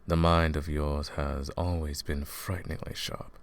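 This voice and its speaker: A man playing a smug, scheming royal advisor and sorcerer in a fantasy audio roleplay, silky and condescending, with a gravelly voice. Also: a bass voice, flat delivery. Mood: smugly confessing. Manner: silky, condescending, theatrical, drawn-out menacing phrasing. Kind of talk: fantasy audio roleplay, intimate villain monologue.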